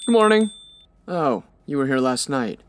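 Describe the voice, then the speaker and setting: An actor playing a shifty, cowardly dry cleaner, fussy and whining, in a comedic radio-style crime drama. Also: male voice, mid-range voice, sing-song, moderate pace, faint music behind, close to an everyday voice.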